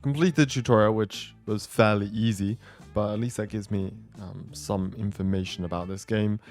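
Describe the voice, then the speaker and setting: A man's voice: lowish voice, fairly even intonation, normal speed, darkish tone. A man giving casual gameplay commentary as a video host, thinking aloud as he goes. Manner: thinks aloud, talks himself through decisions. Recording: music behind the voice.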